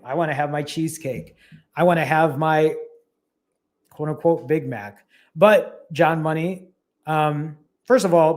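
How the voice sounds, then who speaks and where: A man hosting a live stream as a fasting coach, chatting casually, reading viewer comments aloud and encouraging his audience directly. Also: a little high. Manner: talks straight to viewers, upbeat and encouraging.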